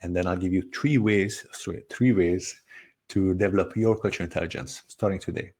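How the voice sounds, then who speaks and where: A man with a low voice and a Hungarian accent carrying a hint of French talks through an online training session. He is friendly and conversational, often restarting phrases and filling pauses as he goes. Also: fairly even intonation, darkish tone. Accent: Hungarian with a slight French tinge. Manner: friendly, conversational, with frequent fillers and self-corrections. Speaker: cross-cultural coach and trainer. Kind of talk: webinar presentation.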